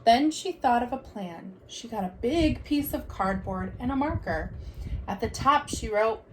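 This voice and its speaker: Female storybook reader, teacher type. High-pitched, unhurried, expressive, with faint noise behind. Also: poor audio. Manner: expressive, deliberate storytelling delivery. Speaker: teacher reading aloud. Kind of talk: children's picture book read-aloud.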